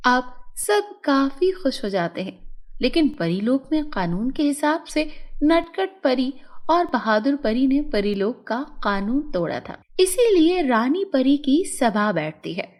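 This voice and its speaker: A woman narrating a scripted fairy tale for children. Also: high voice.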